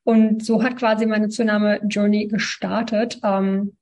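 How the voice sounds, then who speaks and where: A woman in her twenties from Ukraine, telling her story as a guest in a relaxed interview, talking with lots of fillers and small laughs. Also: medium pitch, a little slow. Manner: chatty, many fillers, laughs between sentences. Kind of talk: casual interview talk.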